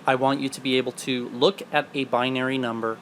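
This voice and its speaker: Patient male teacher with a mid-pitched voice and faint background hiss. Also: little pitch movement, fairly quick, fairly bright tone. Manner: patient, explanatory. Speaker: programming teacher. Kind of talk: recorded video lesson.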